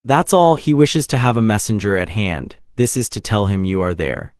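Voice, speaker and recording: A man voicing an elderly, formal bank clerk who instructs and admonishes briskly, in an audiobook. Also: on the low side, animated, darkish tone, no room sound, studio quality, natural voice. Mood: instructing.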